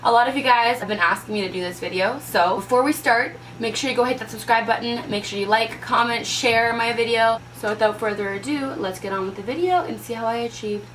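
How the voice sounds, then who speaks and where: Young woman host, fairly high voice, upbeat channel intro. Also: brisk, few pauses, a bit bright, slight background noise, rough recording.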